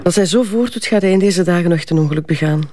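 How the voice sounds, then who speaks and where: A woman's voice: lowish voice, racing, dark tone, no echo, close to an everyday voice. An actress playing a stern, matter-of-fact female doctor with an authoritative, clipped manner and a Flemish accent. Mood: stern, advising.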